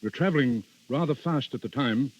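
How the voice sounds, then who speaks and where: A man's voice: mid-range voice, fairly quick, no echo, close to an everyday voice. An actor playing a genial middle-aged aristocratic father, formal and avuncular, in a vintage radio drama. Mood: relieved. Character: genial aristocratic father, an Italian duke, kindly and composed. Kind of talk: 1930s-40s radio acting. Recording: muffled old radio broadcast recording.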